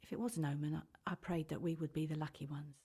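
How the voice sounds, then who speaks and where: A woman's voice: low voice, fairly even intonation, fast talker, warm tone, slightly rough. An actress playing a regretful, anxious middle-aged birth mother in a radio drama monologue. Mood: wistful, regretful.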